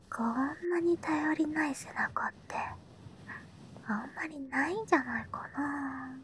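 A woman voicing a teasing, doting schoolgirl little sister in a whispered ASMR roleplay, breathy and playful. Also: high-pitched, exaggerated voice. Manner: teasing, playful, slow and soft. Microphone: close microphone.

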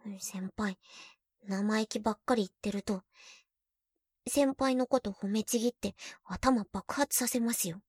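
A young woman playing a teasing, shy girlfriend who is junior to the listener, in an ASMR roleplay, talking playfully and dropping into soft whispers. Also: mid-range voice, unhurried, husky, no room sound, clean studio sound.

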